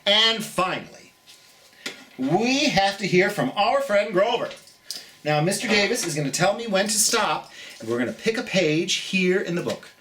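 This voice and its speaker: An American storytime host reading a picture book to children and chatting in a gentle, theatrical way. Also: mid-range voice, very animated, normal speed, distant mic.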